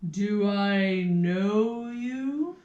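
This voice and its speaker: A woman's voice: mid-range voice, drawn-out words, darkish tone, lo-fi sound. A woman voicing a haughty nose that poses as a state councillor in a puppet show, aloof and dismissive. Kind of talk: puppet show stage acting in rehearsal. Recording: roomy echo, somewhat distant mic.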